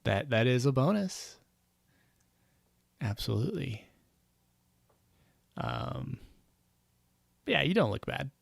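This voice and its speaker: A man with a gravelly, fry-edged voice talks casually and rambles over a game stream, wry and self-mocking. His voice is close and dry, with no room around it. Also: mid-range voice, big pitch swings, slow pace, pauses often, no room sound.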